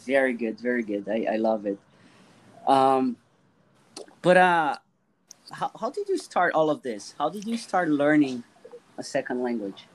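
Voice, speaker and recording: Male interviewer, mid-pitched voice, encouraging, stumbles into his questions. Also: sing-song, a little slow, long pauses, low-quality recording. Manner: friendly, encouraging, repeats words as he starts questions. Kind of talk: casual interview.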